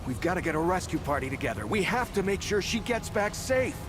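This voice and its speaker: A man's voice: high voice, very animated, fairly quick, noisy background. A man playing a worried, feisty young companion in a video game, with music behind. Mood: alarmed, urgent. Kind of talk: animated video game voice acting.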